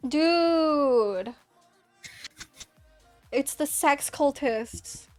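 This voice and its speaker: A woman's voice: high voice, drawn-out words, soft background music. A woman hosting a livestream, chatting casually with a guest in a crude, giggly, teasing way. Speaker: streamer host.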